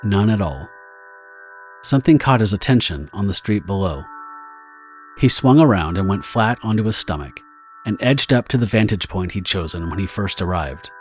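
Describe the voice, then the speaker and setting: A man narrating a scripted dramatic story in a measured, theatrical storytelling manner. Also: lowish voice. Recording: music under the narration.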